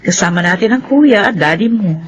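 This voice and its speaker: A woman's voice: mid-range voice, expressive, a little fast, lo-fi sound, a little hiss or hum, natural voice. A woman playing a practical mother, obedient to her elders, in a Filipino radio drama. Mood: coaxing.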